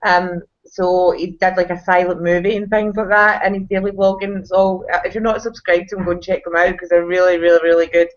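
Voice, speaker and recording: A woman's voice: fairly low pitch, flat delivery, brisk, few pauses. Giggly woman vlogger on a video call. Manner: enthusiastic, giggly. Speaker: guest vlogger. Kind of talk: casual live video chat. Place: hall. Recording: dull lo-fi video call audio.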